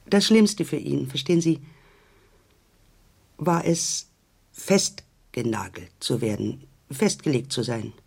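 An actor playing a pensive man who recalls someone distant, reflectively and with pauses, in a radio drama. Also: medium pitch, gravelly, slightly rough, full character voice.

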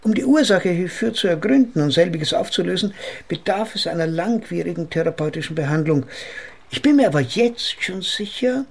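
Male psychotherapist for radio drama: creaky, husky voice, ponderously formal, politely sceptical, pedantic. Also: high-pitched, expressive, moderate pace, full character voice. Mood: patient, condescending. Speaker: actor in a radio play. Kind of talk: German radio drama dialogue and narration.

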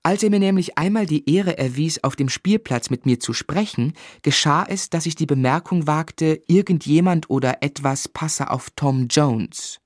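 An actor playing a grown man who fondly recalls his schooldays, narrating a literary radio drama in a measured, reflective way. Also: a little high, no room sound, clean studio sound, close to an everyday voice.

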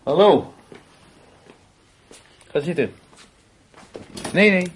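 A man playing an older police officer in a radio play, questioning in a curt, measured way. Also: sits high, expressive, heavily acted. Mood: stern, gruff. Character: older male police officer behind a desk, curt, unhurried. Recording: noisy old radio play recording.

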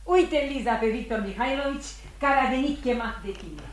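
A woman's voice: a little high, close to an everyday voice. An actress playing a worried, scornful older mother in a Romanian radio play, speaking in an emphatic, theatrical way with sighs. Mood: scornful, indignant. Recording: noisy vintage radio drama recording.

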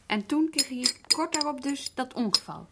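An actress playing an earnest social worker in a radio drama, politely probing in a hesitant manner. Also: female voice, high voice, animated, normal speed, noisy background. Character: earnest, patient, tentative female social worker. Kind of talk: naturalistic Dutch radio drama acting.

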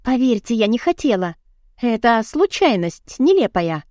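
A woman's voice: a little high, sing-song, measured pace, a little mellow, dry recording, clean studio sound. A woman voicing a flustered, indignant young woman in an audiobook, wordy and defiant, chattering in protest. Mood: flustered, apologetic. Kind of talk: audiobook character dialogue.

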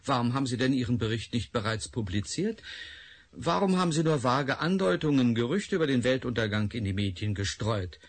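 An actor playing a scornful, sneering scientist in a radio play, with a raspy voice. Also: male voice, mid-range voice, expressive, a little fast. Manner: sneering, interrogating. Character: scornful male scientist, Doctor Bergson. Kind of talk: radio drama acting.

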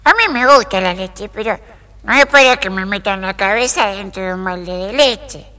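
A woman's voice: mid-range voice, moderate pace, bright, forceful tone, natural voice. A woman playing a young, plaintive, put-upon ugly duckling in an audio storybook. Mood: put-upon, resigned. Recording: long reverberant hall sound.

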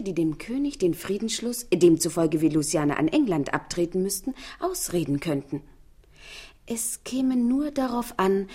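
An actress playing a scheming young social climber in a German radio play, polite, insinuating and calculating. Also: female voice, lowish voice, dark tone, breathy edge. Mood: eager, insinuating. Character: scheming young woman, deferential yet bold, social climber.

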